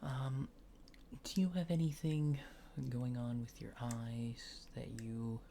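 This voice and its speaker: Slow, hushed, creaky man doing ASMR. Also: mid-range voice, a little hiss or hum. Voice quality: soft, hushed, breathy near-whisper at times. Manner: murmured, hesitant, unhurried short instructions. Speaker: ASMR performer playing an examiner. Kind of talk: ASMR roleplay of a medical exam.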